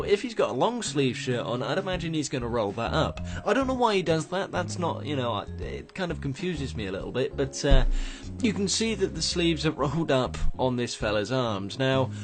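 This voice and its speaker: A man hosting a gaming show, his voice slightly croaky from just waking up as he rambles through screenshot commentary with many restarts. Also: a little high. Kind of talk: casual commentary on game screenshots.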